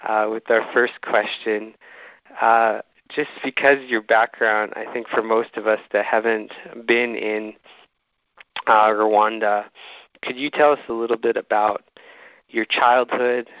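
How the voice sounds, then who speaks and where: A man hosting an interview, speaking haltingly and searching for his words, on slightly dull audio. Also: fairly low pitch, little pitch movement, dry recording. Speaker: interviewer and host introducing a guest. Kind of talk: hosting an online community talk.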